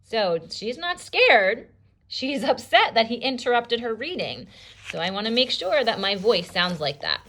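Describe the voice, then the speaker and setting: A woman with a bright, forceful voice, teaching through a storybook read-aloud. Her pitch moves widely as she explains and narrates expressively. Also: big pitch swings, measured pace.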